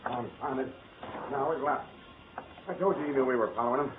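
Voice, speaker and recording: An actor playing a gruff, wry police detective in a 1940s radio crime drama, with a clipped, insistent way of talking. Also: male voice, medium pitch, fast talker, close to an everyday voice. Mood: frustrated, resigned. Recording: tinny, faintly noisy old radio recording.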